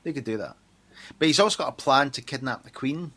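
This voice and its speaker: A man's voice: mid-range voice, expressive, brisk, bright, forceful tone. A man in casual, irreverent banter as a panelist on a film podcast, swearing freely.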